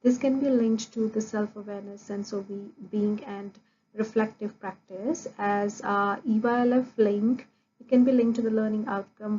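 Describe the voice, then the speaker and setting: Woman student, warm low-key tone, steady listing delivery, dull lo-fi recording. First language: Punjabi. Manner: steady, listing points with frequent "uh" fillers. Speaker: student presenting an assessment. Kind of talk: prepared academic presentation. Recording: dull lo-fi audio with faint noise.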